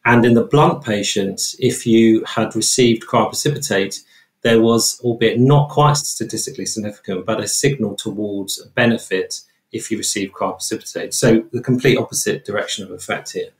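A British man, a trauma researcher, explaining findings in an interview in a measured, technical way, with a roomy sound. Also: fairly low pitch.

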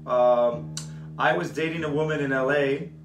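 A man in his thirties, a singer-songwriter, talking about his songs in a relaxed, soft-spoken way with many fillers, recorded on a phone at home. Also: medium pitch, normal speed, echoey room. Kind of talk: live acoustic performance with spoken song introductions. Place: home room indoors. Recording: loud acoustic guitar under the voice. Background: his own acoustic guitar.

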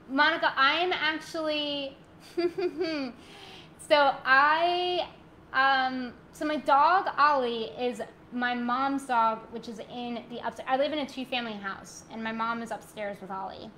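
A woman in her thirties teaching a live yoga class from her living room on a laptop mic, moving from soft guided cues to chatty talk with viewers. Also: high voice, slight background noise. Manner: soft, slow guided cues, then bubbly rambling chat. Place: living room at home, indoors. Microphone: laptop mic, webcam framing.

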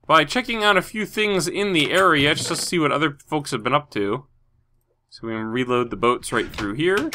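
A man's voice: on the high side, expressive, normal speed, sharp tone. Stuffy-nosed man rambling over gameplay. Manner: rambling, thinks aloud with many fillers. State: stuffy from a cold. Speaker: Minecraft video host. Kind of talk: casual gameplay commentary.

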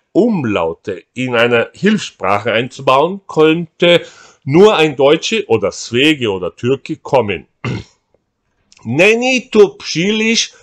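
Older guy w/ reading his text real slow, dry humor, webcam at home. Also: mid-range voice. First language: Portuguese. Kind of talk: reading aloud, switching between languages. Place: room at home, indoors. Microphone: webcam at desk.

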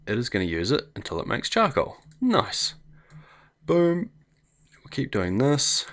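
A man with a New Zealand accent and a slightly croaky voice, chatting casually as he thinks aloud through a game. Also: mid-range voice. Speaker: gameplay video host. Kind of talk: casual let's play commentary.

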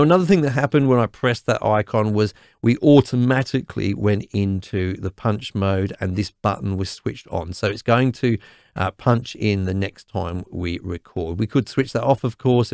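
A man with a slightly croaky voice explains music software in a relaxed, chatty way, often checking in with "okay?". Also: on the low side, no room sound, studio quality. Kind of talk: screen-recorded software tutorial.

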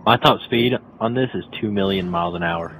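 Young man gamer, low voice, cursing. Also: brisk, crowd noise, rough recording. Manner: excitable, swears often. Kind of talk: casual gaming chat. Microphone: headset voice chat.

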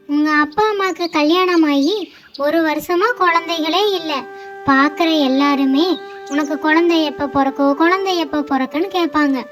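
Woman playing a high-voiced, caring aunt giving advice. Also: close to an everyday voice. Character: caring, admonishing aunt, a married elder sister. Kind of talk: children's moral story cartoon dialogue. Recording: music under the voice.

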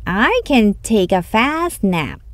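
A woman's voice: mid-range voice, big pitch swings, takes it slowly, dry recording. A woman voicing a proud, teasing male hare in a children's storybook, with a boastful, taunting manner. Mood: smug. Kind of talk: children's storybook read-aloud.

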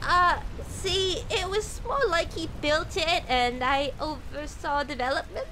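A woman playing a boastful, excitable young raccoon sailor in a comic dub, brash and slangy. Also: sits high, measured pace, a little hiss or hum, poor audio. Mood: sheepish. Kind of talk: scripted comic dub acting.

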